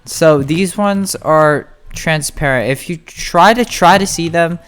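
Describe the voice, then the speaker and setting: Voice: mid-range voice, slow, fairly bright tone, smooth. A gamer giving a casual, chatty video game walkthrough, talking informally and straight to viewers. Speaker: gamer making a tutorial. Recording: music playing behind the voice. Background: music.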